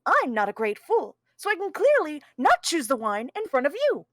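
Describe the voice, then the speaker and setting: High-pitched, pompous criminal mastermind. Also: male voice, wildly swooping pitch, normal speed, pushed and bright, no room sound, clean studio sound, heavily acted. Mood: excited, pompous. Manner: pompous, verbose, argumentative. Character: conceited, scheming criminal mastermind holding a hostage. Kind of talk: theatrical table-read performance.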